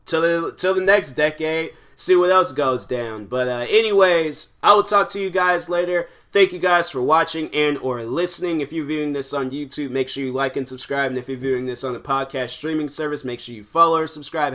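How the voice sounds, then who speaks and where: Bright-voiced young man rambling. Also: fairly high pitch, moderate pace, hardly any pauses. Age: 20s. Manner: rambling, animated, many fillers. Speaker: podcast host. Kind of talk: casual solo podcast chat.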